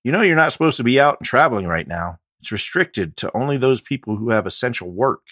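A man's voice: mid-range voice, expressive, fast, a bit bright, no room sound, clean studio sound, pitched above the performer's own voice. A man voicing a disdainful male sheriff's deputy in an audiobook, bossy and condescending. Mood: scornful, commanding. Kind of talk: audiobook character dialogue.

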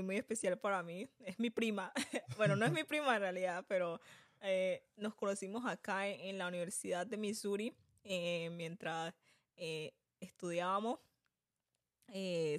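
A woman's voice: medium pitch, no echo, clean studio sound. A woman co-hosting a podcast in a casual, chatty style. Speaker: podcast co-host. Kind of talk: casual chat, introducing a guest.

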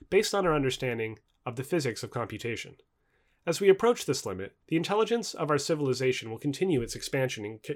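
A man reading a nonfiction book aloud in articulate, expository narration, stressing key terms. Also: medium pitch. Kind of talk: scripted nonfiction audiobook narration.

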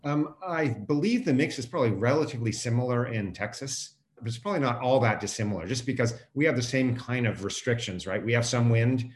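American guy, expert guest explaining stuff casually, regular mid pitch voice. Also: a little fast, hardly any pauses, a little hiss or hum. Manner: conversational, explanatory. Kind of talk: casual explanatory interview answers.

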